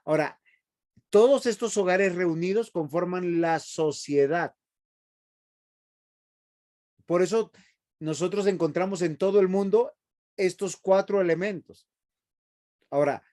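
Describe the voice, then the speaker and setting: A man's voice: high voice, a little mellow. A man teaching a Bible seminary class in a preachy way, often asking the students questions.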